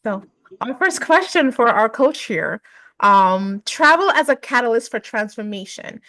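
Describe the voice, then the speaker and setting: A woman's voice: a little high, very animated, unhurried, sharp tone, no room sound, rough recording. A woman hosting a webinar, upbeat and affirming as she introduces the Q&A and poses questions to her guest. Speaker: webinar host. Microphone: video call.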